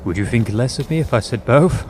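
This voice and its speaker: A man's voice: mid-range voice, expressive, brisk, slight background noise, close to an everyday voice. Man acting an anxious, earnest young Victorian husband. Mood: nervous, polite. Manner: formal, earnest period phrasing. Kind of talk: dramatic audio drama acting. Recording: music under the dialogue.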